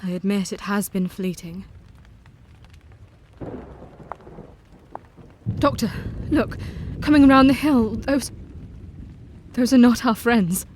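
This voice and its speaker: A woman's voice: on the high side, a little slow, a little mellow, a little hiss or hum, close to an everyday voice. Brave young diarist, voiced by a woman; earnest, formal narration. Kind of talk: audio drama narration.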